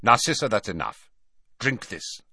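A man reading an audiobook, voicing a cold, guarded middle-aged wizard who speaks flatly and quietly. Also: on the low side, gravelly, slightly rough, dry recording, clean studio sound, exaggerated voice. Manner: flat, quiet, measured.

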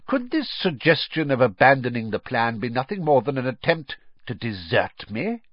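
A man reading an audiobook and voicing a sickly, cold, menacing dark lord who talks in a hissing whisper. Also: medium pitch, very animated, normal speed, slightly rough, no room sound, dull audio, voice pushed up.

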